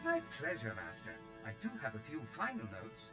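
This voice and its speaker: An actor playing a fussy, proud and servile steward droid in a video game, with formal, precise and theatrical delivery. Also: male voice, lowish voice, wildly swooping pitch, a little fast, over music. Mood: obliging, fussy. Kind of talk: video game character acting.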